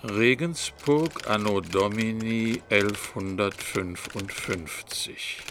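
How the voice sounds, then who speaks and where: A man playing a medieval chronicler in a radio play, speaking deliberately in archaic wording over clicking noise. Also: fairly low pitch.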